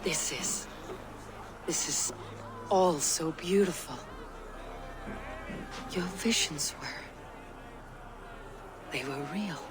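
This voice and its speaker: A woman playing an earnest, questioning young Viking warrior in a video game, husky and sometimes breathy. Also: sits low, extremely slow, long pauses, over music. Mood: awed. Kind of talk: dramatic video game acting. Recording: noisy ambient background.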